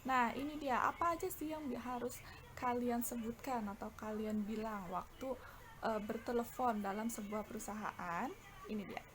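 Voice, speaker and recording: A woman's voice: on the high side, moderate pace. A woman with an Indonesian accent explaining a bilingual lesson as an English teacher, often stretching out her words. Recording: clear background noise.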